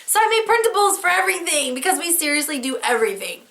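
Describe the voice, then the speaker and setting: A woman's voice: high-pitched, very animated, fast talker, creaky, a bit bright, a little hiss or hum. A woman with a head cold and a fading voice, chatting casually and rambling a little as she shows her work. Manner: chatty and rambling, with fillers and restarts; candid, with casual swearing. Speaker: host. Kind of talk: casual show-and-tell craft vlog.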